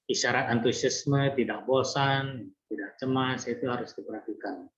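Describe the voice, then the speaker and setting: A man giving an online nursing lecture in a relaxed, conversational way, frequent fillers and restarts. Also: medium pitch, normal speed. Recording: roomy, dull lo-fi lecture recording.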